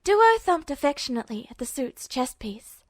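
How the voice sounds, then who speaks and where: A woman's voice: sits high, big pitch swings, normal speed, dry recording, studio quality. A woman narrating a story from a script as an audiobook-style reader. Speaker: podfic narrator.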